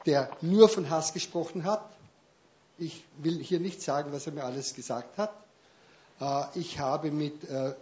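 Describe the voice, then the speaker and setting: Man in the audience, kinda high voice, earnest, lots of äh, dull mic sound. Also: a little mellow. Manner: earnest, repeats a phrase for emphasis, filler-heavy. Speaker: audience member. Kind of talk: comment from the audience.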